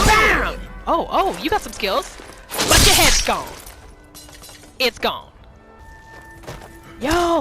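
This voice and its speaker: A woman doing casual, playful gameplay commentary, narrating her roleplay as she goes and talking to herself. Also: high-pitched. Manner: playful, expressive, talking to self and game. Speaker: gamer narrating own roleplay playthrough. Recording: game music behind the voice. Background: video game music.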